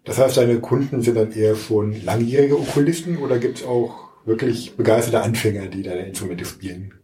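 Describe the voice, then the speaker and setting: A man hosting a podcast, asking questions at a festival and reading the show's outro in a lively, clearly articulated way. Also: lowish voice, moderate pace, a little hiss or hum. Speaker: podcast host and interviewer. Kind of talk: interview questions and scripted outro. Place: outdoor music festival.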